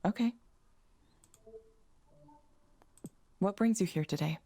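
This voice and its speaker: An actress playing a polite, composed woman counsellor in a video game, measured, neutral and professional. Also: medium pitch, warm tone, close to an everyday voice. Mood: attentive, neutral. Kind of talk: visual novel game voice acting.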